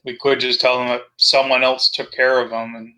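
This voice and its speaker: A man's voice: medium pitch, little pitch movement, moderate pace, bright, forceful tone, low-quality recording. A man casually discussing options as a player in a tabletop role-playing game.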